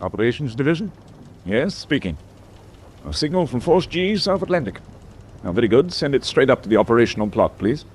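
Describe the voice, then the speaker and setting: A man's voice: mid-range voice, very animated, fairly quick, a little hiss or hum, close to an everyday voice. A man playing a composed senior British naval officer in a radio war drama, clipped, courteous and understated. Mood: brisk, businesslike.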